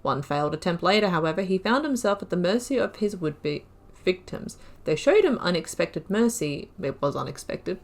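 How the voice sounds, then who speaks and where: Chatty woman reading game lore, low voice. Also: very animated, normal speed, hardly any pauses. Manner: chatty, trails off and restarts mid-sentence. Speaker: gaming video host. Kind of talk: reading game lore aloud with casual commentary.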